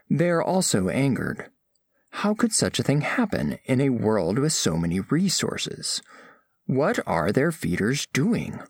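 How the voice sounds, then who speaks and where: A man's voice: mid-range voice, slightly rough, dry recording, clean studio sound, exaggerated voice. A man playing a formal, curious alien scholar in an audio drama, narrating observations of humans with precise, clinical phrasing. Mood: concerned.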